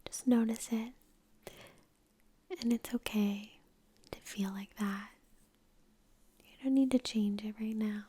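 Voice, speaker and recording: A woman guides a meditation in a soft, breathy whisper. Her delivery is very slow and soothing, with long pauses. The tone is dark and mellow and stays nearly flat, right up close to the microphone. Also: mid-range voice. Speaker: ASMR creator and meditation guide. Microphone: close microphone in front of the face.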